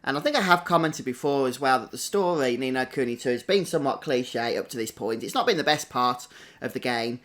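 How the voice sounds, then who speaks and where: A man's voice: mid-range voice, expressive, brisk, hardly any pauses, a bit bright. A man giving casual, chatty gameplay commentary, thinking aloud as a streamer doing a walkthrough.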